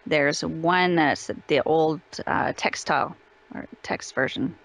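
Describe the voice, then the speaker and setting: A woman chatting casually as an interview guest, telling stories in an animated, chatty way. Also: low-pitched, slow, gravelly, low-quality recording. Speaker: voice actress being interviewed.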